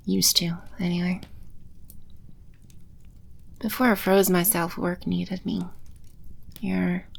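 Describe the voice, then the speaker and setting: A woman playing a playful, teasing demon empress in an ASMR audio roleplay, speaking with unhurried, coy confidence. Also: medium pitch, gravelly, heavily acted. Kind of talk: ASMR audio roleplay, spoken close to the listener.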